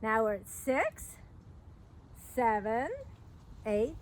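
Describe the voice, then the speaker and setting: A middle-aged woman reads a picture book aloud to young children in an expressive, sing-song storytelling manner with chatty asides. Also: a little high, drawn-out words. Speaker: park educator hosting a children's storytime. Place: outdoors by a fence at a trailhead. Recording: outdoor recording with background noise.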